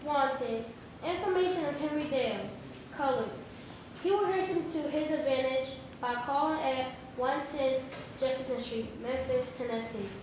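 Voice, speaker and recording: A woman giving a staged dramatic reading, slow and deliberate, in short phrases. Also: high voice. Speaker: performer reading historical newspaper ads. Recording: very echoey hall from far off, noisy.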